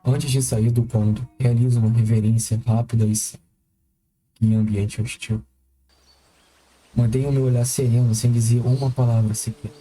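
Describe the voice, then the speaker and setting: Guarded samurai voiced by a man, Brazilian accent, flat intonation, courteous, restrained, dramatic narration, faint music behind. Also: mid-range voice, moderate pace, lightly acted, rough recording. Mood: wary, reflective. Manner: formal, restrained. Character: wary, solemn, courteous male outsider samurai. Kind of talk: first-person audio drama narration.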